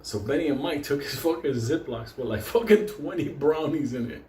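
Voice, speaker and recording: A man's voice: fairly high pitch, lively intonation, normal speed, dark tone. A man whose first language is Spanish, telling rambling, profane stories in a casual, joking way. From Puerto Rico or a Puerto Rican community. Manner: rambling, heavy swearing, frequently breaking into laughter. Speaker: storyteller, host. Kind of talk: casual, profane comedic storytelling. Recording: roomy echo with faint background noise.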